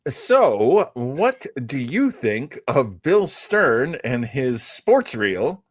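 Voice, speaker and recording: A man chats casually as a show host. He speaks very slowly and deliberately, and his mid-pitched voice swoops widely. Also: sing-song. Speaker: host of old-time radio show. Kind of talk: casual chat.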